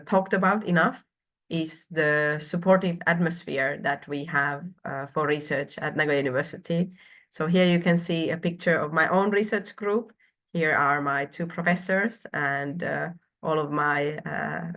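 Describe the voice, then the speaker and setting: A woman's voice: low-pitched, fairly bright tone. A woman from Finland in her thirties presenting a university program online, with frequent hesitations and filler sounds.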